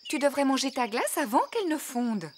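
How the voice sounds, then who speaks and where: An actress playing a calm, caring mummy pig in a cartoon, patient and motherly. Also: high voice, a little fast, slight background noise. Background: cartoon music.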